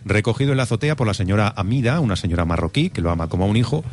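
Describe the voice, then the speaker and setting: Brief: low-voiced male film-show host, rapid and jokey. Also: animated, slight background noise. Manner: fast, joking, argues then reads synopses. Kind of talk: film review radio chat.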